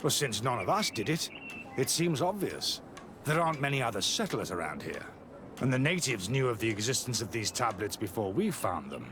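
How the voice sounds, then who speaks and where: An actor playing a guarded, zealous missionary monk and scholar in a video game, speaking in a measured, dismissive way. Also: male voice, medium pitch, big pitch swings, noisy background, close to an everyday voice. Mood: self-assured. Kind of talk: video game cutscene acting.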